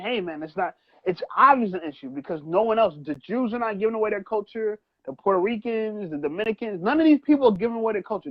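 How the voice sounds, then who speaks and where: A man's voice: high voice, highs cut off. A man, first generation with Trinidadian parents, co-hosting a podcast and arguing his point in a heated casual debate, often adding "you know what I'm saying". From United States, child of Trinidadian parents. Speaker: podcast co-host.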